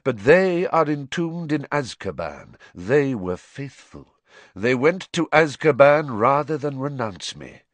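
A man voicing a cruel dark lord in an audiobook, speaking softly and coolly with measured menace. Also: mid-range voice, sing-song, no echo, pitched above the performer's own voice. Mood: cold menace. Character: cold, cruel dark lord, adult male.

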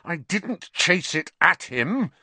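A man's voice: medium pitch, very animated, normal speed, fairly bright tone, no echo, pitched above the performer's own voice. A man voicing an earnest, hot-tempered schoolboy hero in an audiobook, direct and indignant. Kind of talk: audiobook character acting.

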